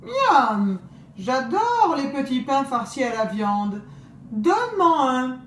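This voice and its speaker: A woman voicing a greedy, sly big grey wolf who smacks his lips and wheedles in a high voice. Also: very animated, slow, fairly bright tone, slight background noise, full character voice. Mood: greedy wheedling. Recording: roomy echo.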